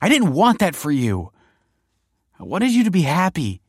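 Man voicing a tearful, pleading wife, pitched up. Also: fairly quick, no echo, studio quality, natural voice. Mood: desperate. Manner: pleading, self-justifying, emotional. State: tearful, sniffing. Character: distraught, defensive wife pleading tearfully with her husband.

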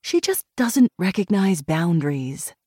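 A woman voicing a sensible, acid-tongued woman friend in a novel, dry and measured. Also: on the low side, sing-song, dark tone, breathy edge, no echo, exaggerated voice.

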